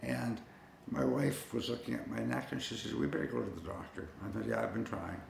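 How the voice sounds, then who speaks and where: A man's voice: mid-range voice, brisk, vocal fry, a little mellow, roomy sound, slight background noise. A man telling the story of his own illness in a plain, conversational way, with a few restarts. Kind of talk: personal storytelling to camera.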